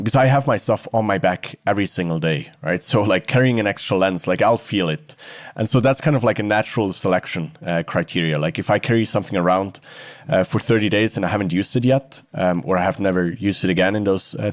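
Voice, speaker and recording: A man's voice: sits low, brisk, no room sound, studio quality. A man from Switzerland, speaking reflectively as an interview guest.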